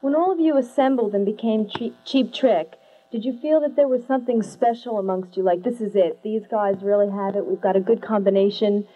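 A woman interviewing a rock band, eager and quick to laugh. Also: medium pitch. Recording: tinny phone-like lo-fi audio with hum.

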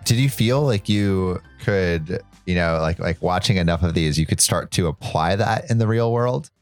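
A man with a very deep, smooth, resonant voice hosts the show, asking questions in a halting way with plenty of "um" and "like". The sound is dry and close, with faint music behind him. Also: no echo. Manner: hesitant, filler-heavy questioning. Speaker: podcast host, interviewer. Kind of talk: casual interview questions.

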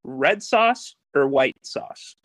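A man hosting a casual chat, enthusiastic and quick with questions. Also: mid-range voice, expressive, a bit bright, dry recording. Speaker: host.

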